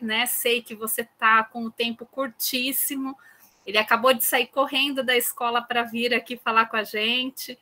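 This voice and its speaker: Woman lecturer host, higher pitch, crisp bright voice, chatty "né" tags, video call. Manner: warm introducer, constant "né" tags. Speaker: university lecturer hosting a guest. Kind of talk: online class conversation.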